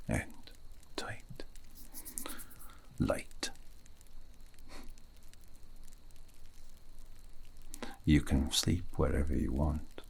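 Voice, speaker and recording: A man playing a gentle, wistful elven dream mage in an ASMR fantasy roleplay, murmuring slowly and poetically in a breathy, gravelly half-whisper. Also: rumbling low, exaggerated voice. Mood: soothing, coaxing. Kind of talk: ASMR fantasy roleplay, soothing sleep guidance.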